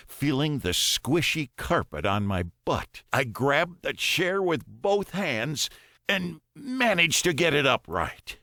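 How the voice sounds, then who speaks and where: A man reading a first-person story as an audiobook narrator, deliberate and dramatic, pausing between phrases. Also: mid-range voice, bright, forceful tone, no echo, clean studio sound.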